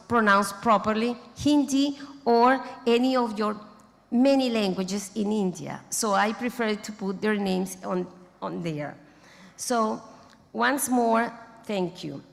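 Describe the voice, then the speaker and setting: A woman, not a native English speaker, giving a formal closing speech at a conference, halting and restarting mid-phrase as she thanks organizers and names board members. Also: fairly high pitch, vocal fry. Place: large conference hall. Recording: long hall reverb.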